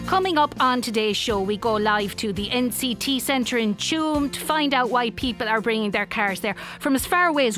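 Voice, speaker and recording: An actress with an Irish accent playing a self-important current affairs radio host in a comic radio play. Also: female voice, on the high side, fairly bright tone, natural voice. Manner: brisk, interrupting, self-assured. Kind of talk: comic radio play, talk show presenting.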